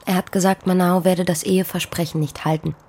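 An actress playing a poor young pregnant farm maid in a radio play, fearful yet stubborn, speaking earnestly in formal stage diction. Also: female voice, on the low side, flat delivery, a little fast, darkish tone, slightly rough. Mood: troubled, guarded. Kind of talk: German radio play acting.

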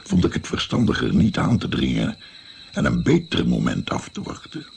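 An actor playing an old grandfather in a radio play, reflectively recounting his past travels in a gravelly voice. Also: a bass voice, very animated, moderate pace, a little mellow, a little hiss or hum, full character voice. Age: older. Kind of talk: radio drama storytelling.

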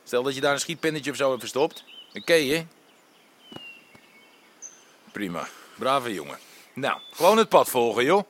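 A man's voice: mid-range voice, very animated, moderate pace, pauses often, natural voice. An actor in an audio drama playing a gangster's henchman, wry and taunting. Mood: mocking. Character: mocking, cautious male gangster henchman.

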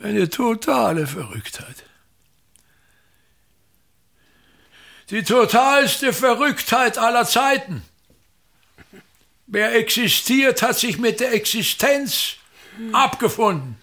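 A bitter, self-important old stage director in a radio drama. He declaims slowly in a husky, gravelly, fairly high-set voice. Every word comes out with contempt and grand theatrical weight. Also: big pitch swings, sharp tone, full character voice. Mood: bitterly ranting. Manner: declamatory, contemptuous, repeating words with grand emphasis. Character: pompous, bitter, ranting old actor-manager of a touring theatre. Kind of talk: theatrical German-language radio play monologue.

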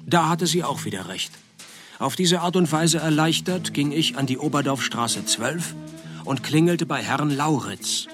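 Sarcastic detective narrating a radio play, deadpan, laconic, slightly higher voice, faint music. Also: male voice, a little slow. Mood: wry, deadpan. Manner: deadpan, dry wit. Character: laconic, sardonic male private detective. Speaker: narrator and lead.

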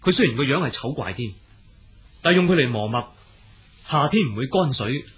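A man acts out a humble young scholar in a radio folk tale. The voice is very husky and mid-pitched, with lively intonation. He explains things earnestly and respectfully. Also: moderate pace. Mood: earnest, polite. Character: earnest, polite poor young male scholar of a frontier town. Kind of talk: Cantonese storytelling radio drama acting.

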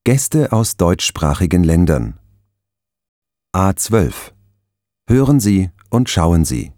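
A man gives calm, measured instructions for a language course. His voice sits very low with a dark tone, and his pitch moves a lot. He speaks slowly, and the recording is dry. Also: dry recording. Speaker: narrator of language course audio. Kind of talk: instructional announcements.

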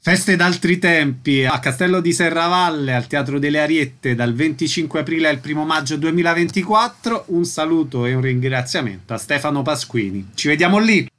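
A man's voice: on the high side, expressive, moderate pace, hardly any pauses, a bit bright. A man hosting a radio arts programme, announcing events and closing an interview. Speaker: radio host.

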